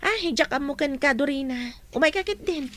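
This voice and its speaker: A woman's voice: high voice, a little fast, a bit bright, a little hiss or hum. A Filipino actress in a radio drama playing a sensible young student who chides her friend in a familiar way. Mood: chiding. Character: young female student, sensible, frank schoolfriend.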